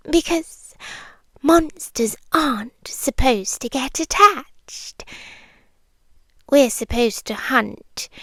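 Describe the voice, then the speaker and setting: A British woman voicing a sweet, possessive young monster girl in an intimate ASMR roleplay, speaking softly and deliberately and often dropping into a breathy whisper. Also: high-pitched, dry recording, exaggerated voice. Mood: tender, yearning. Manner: soft, breathy, deliberate, with frequent drops into whispering.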